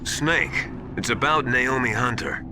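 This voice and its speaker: A man's voice: medium pitch, unhurried, natural voice. An actor playing an anxious, earnest man confiding secrets in video game dialogue, speaking hesitantly. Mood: secretive. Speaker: supporting character in a stealth action game. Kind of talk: dramatic video game voice acting. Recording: loud music under the voice.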